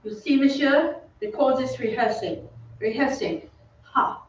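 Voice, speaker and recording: A woman's voice: high-pitched, a little slow. A woman acting a fussy, scornful household attendant in an old-time radio drama. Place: theatre stage. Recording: very echoey stage, distant, muffled, faint noise.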